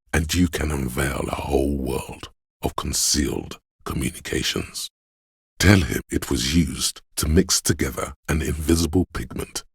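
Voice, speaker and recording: A man voicing a pompous, opinionated inner voice of artistic imagination in a video game, narrating theatrically and deliberately in a very deep, gravelly, husky voice. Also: no room sound, studio quality, full character voice. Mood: coaxing, urging. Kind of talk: dramatic second-person game narration.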